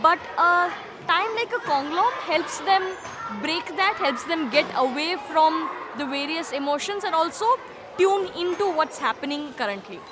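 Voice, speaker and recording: A woman's voice: very high voice, lively intonation, normal speed. A woman who teaches, explaining her work in an interview. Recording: music and crowd noise behind.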